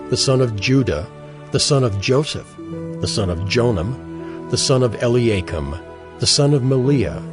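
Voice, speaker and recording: Low-voiced man narrating with lively intonation. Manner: measured, recitative. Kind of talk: scripted scripture narration. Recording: music clearly behind the voice.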